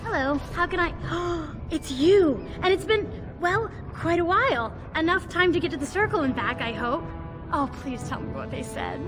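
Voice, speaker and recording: An actress playing a bubbly, studious young dwarf woman in a fantasy game, excitable and earnest. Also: extremely high pitch, normal speed, over music. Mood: surprised, hopeful. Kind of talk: video game voice acting.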